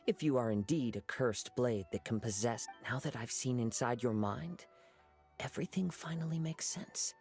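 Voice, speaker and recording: An actor playing a calm, perceptive young samurai in a video game, speaking softly and thoughtfully with a husky voice. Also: male voice, mid-range voice, soft background music, natural voice. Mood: measured, reproving. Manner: measured, thoughtful, gently reproving. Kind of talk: dramatic video game voice acting.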